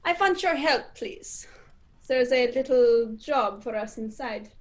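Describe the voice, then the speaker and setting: Young woman playing a high-voiced, politely menacing spy chief. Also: slow pace. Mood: brisk command. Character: suavely menacing spy chief posing as respectable citizen. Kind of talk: amateur radio play acting. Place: indoors, performed over a video call.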